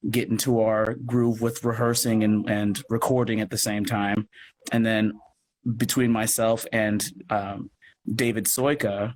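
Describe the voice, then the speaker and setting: A man speaking as an interview guest in a casual, halting way, thinking aloud as he goes. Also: lowish voice, a little hiss or hum, poor audio. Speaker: guest, audio drama producer and actor.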